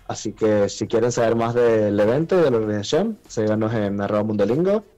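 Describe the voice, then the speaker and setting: A man's voice: on the low side, animated, brisk, dark tone. A man giving polite, inviting interview answers as a guest event organiser on a telephone call.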